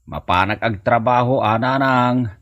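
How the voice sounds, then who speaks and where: A man's voice: medium pitch, measured pace, a bit bright, no echo, lo-fi sound, lightly acted. A man playing an earnest, dutiful adult Filipino son with an Ilocano accent in a comic drama. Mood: helpful.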